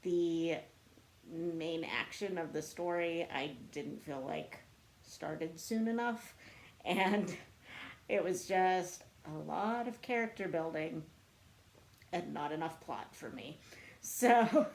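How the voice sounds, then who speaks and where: A woman talks casually to camera as a book vlogger. Her voice sits a little low, and she takes her time with a slow delivery. She comes across as chatty and self-deprecating. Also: a little hiss or hum. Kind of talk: casual chat to camera answering book tag questions.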